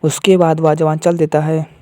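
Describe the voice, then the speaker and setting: A man's voice: on the high side, level tone, moderate pace, darkish tone, no echo, studio quality. A man narrating an amateur cartoon story as the storyteller.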